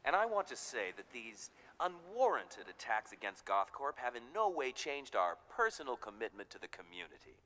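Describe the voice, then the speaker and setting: Swaggering, animated smug corporate boss. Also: male voice, mid-range voice, normal speed, few pauses. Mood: self-satisfied. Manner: slick, self-important, breezy. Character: smug, callous, glad-handing male corporate boss. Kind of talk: animated series drama acting.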